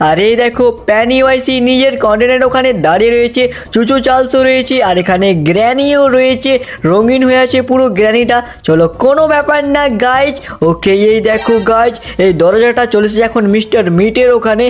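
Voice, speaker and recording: A man's voice: very high voice, fairly quick. A young man, dramatically narrating a cartoon story and talking to viewers. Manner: dramatic, addresses viewers as guys. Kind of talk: excited cartoon game narration.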